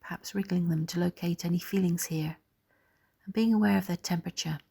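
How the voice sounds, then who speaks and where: A woman leading a guided body scan meditation in a soft, gentle, soothing way, pausing between phrases. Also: fairly low pitch, fairly even intonation, creaky, slightly rough.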